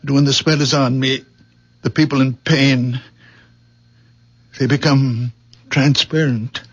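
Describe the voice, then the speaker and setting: A man acting in a radio drama as a gentle, troubled old village healer, speaking haltingly, pleading and repeating himself. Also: mid-range voice, fairly even intonation, breathy edge. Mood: sorrowful, fervent. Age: older. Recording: dull, muffled old radio recording.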